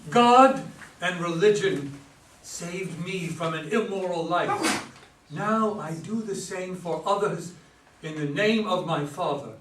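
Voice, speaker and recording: A solemn young man who believes he is God's son played by a man in a stage play. He speaks in earnest, preachy declarations with a high voice, picked up at a distance in a roomy space. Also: takes it slowly, a bit bright, slight background noise. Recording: echoey room, distant microphone.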